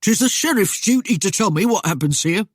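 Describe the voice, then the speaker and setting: A man's voice: high-pitched, animated, a little fast, slightly rough, no echo, studio quality, voice pushed up. A man voicing a greedy, cowardly middle-aged mayor with a whining, coarse manner. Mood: wheedling. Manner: whining, wheedling, coarse dialect speech. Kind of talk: audiobook character voice.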